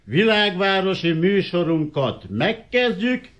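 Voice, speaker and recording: An actor playing a grandiose variety show master of ceremonies making stage announcements in a Hungarian radio play. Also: male voice, sits high, sing-song, a bit bright, highs cut off.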